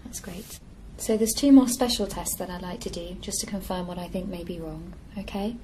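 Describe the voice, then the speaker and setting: A woman's voice: on the low side, moderate pace, warm tone. Young female clinician, noisy room recording. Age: young adult. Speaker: clinician examining a patient. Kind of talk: step-by-step exam instructions.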